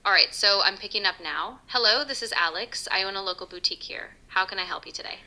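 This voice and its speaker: A woman's voice: medium pitch, fast, bright, forceful tone, poor audio. A synthetic woman's voice playing a friendly, curious boutique owner in a conversational phone role-play, polite and agreeable. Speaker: AI voice assistant in a sales call role-play.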